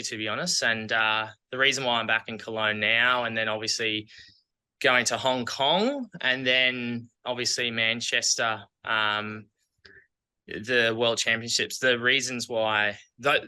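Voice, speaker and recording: A man's voice: mid-range voice, bright, forceful tone, smooth, poor audio. A man with an Australian accent, chatting casually as an athlete and coach being interviewed.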